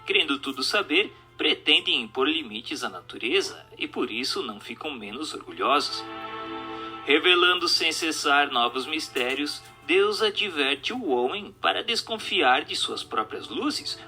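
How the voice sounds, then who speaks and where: A man's voice: medium pitch, bright, forceful tone. A man voicing a wise, admonishing spirit teacher with a solemn, formal, didactic delivery in an audio drama. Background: music.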